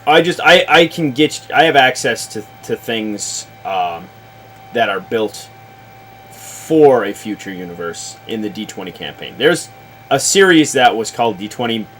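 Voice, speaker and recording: Slow, deliberate man giving advice. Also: fairly low pitch, lively intonation, fairly bright tone, slight background noise, poor audio. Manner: deliberate, halting, explanatory, casually sweary. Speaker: show host, experienced game master advising. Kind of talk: casual advisory chat about tabletop games.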